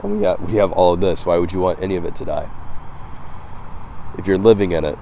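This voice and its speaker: Male vlogger, very low relaxed voice, a touch hoarse. Reflective, halting, casual musing, recorded outdoors. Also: a little hiss or hum. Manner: halting, reflective, repeating words. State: slightly hoarse. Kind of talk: casual philosophical monologue to camera. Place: outdoors by the water.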